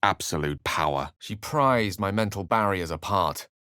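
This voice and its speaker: A man's voice: sits low, expressive, unhurried, pushed and bright, smooth, no echo, clean studio sound, heavily acted. A man playing a refined, erudite wizard in a fantasy video game, speaking in an articulate, theatrical storyteller's manner. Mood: narrating, hushed. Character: refined, erudite male human wizard, eloquent and wry.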